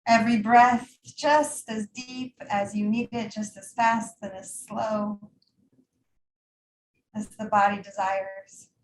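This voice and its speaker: A woman teaching a guided yoga nidra meditation in a soothing, unhurried way, leaving pauses between trailing phrases. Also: medium pitch, steady tone, roomy sound, a little hiss or hum, low-quality recording.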